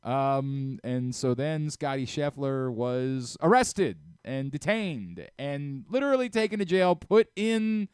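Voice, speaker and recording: A man hosts a sports talk radio show in a clear, smooth voice. He speaks casually and acts out exchanges as he tells a story. The sound is dry and close, as in a studio. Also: mid-range voice, slow pace, hardly any pauses, dry recording. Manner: animated storyteller who re-enacts exchanges.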